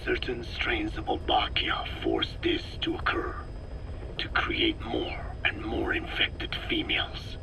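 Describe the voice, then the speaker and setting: Game role: weary, erudite old scientist; low, creaky, half-whispered, measured formal lecturing. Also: male voice, sing-song, exaggerated voice, poor audio. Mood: grave, explanatory. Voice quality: breathy, whispering rasp. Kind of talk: dramatic video game dialogue.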